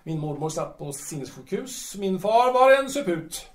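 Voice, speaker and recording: A short, bitter young playwright, played by a man for an audio drama. His voice sits on the high side, and he boasts in halting, repetitive bursts. The recording has a roomy echo. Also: sing-song. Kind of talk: Swedish audio drama acting.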